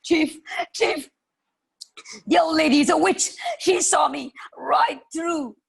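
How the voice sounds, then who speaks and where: A woman voicing a frightened male robber who gasps and pleads in a raised voice. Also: normal speed. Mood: panicked. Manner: high, breathless pleading.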